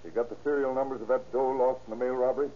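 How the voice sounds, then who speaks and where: An actor playing a shrewd, businesslike police captain with clipped speech in an old radio drama. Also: male voice, medium pitch, steady tone, racing, lightly acted. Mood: brisk. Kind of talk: 1930s-40s radio acting. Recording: muffled, noisy old radio recording.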